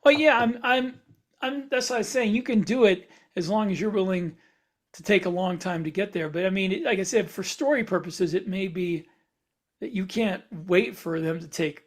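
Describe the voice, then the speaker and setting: High-pitched man reasoning aloud on a podcast. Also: moderate pace. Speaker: podcast panelist. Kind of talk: casual panel discussion.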